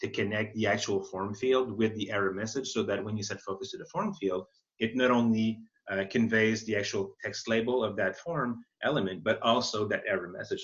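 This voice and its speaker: A man explaining as an expert in an informal webinar Q&A, thinking aloud and rephrasing as he goes. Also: lowish voice. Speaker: accessibility expert presenting a webinar. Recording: muffled, band-limited webinar audio.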